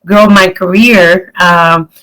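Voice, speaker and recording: A middle-aged woman from the Dominican Republic gives an earnest, warm talk as guest speaker at an online event, with many pauses and ums. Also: lowish voice, fairly even intonation, poor audio.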